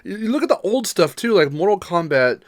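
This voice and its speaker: A man's voice: high voice, sing-song, normal speed, dry recording. A man co-hosting a podcast, chatting casually in an informal, enthusiastic way. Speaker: podcast co-host. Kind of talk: casual chat about games and movies.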